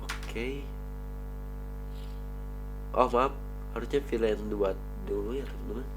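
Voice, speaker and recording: A man's voice: mid-range voice, slow, over music, poor audio. A man with a cold explaining network switch configuration in a screen-recorded tutorial, talking viewers through each command as he types. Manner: addresses viewers as friends, talks while typing commands. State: has a cold. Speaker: networking instructor.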